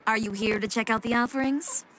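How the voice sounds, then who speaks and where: Anime-game fashion designer, played by a woman: young female, fairly high voice, poised, curt, no-nonsense. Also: fairly quick, a bit bright, a little hiss or hum, close to an everyday voice, poor audio. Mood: composed. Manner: matter-of-fact, assured, dryly curt. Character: confident, businesslike young female fashion boutique owner, blunt, composed. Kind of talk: anime video game voice acting.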